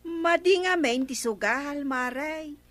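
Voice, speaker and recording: A woman's voice: sits high, slow pace, fairly bright tone. A woman acting a disapproving, admonishing village neighbour in an Ilocano radio comedy drama.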